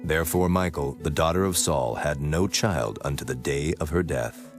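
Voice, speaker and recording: Deep-voiced male scripture narrator. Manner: solemn, measured. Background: music.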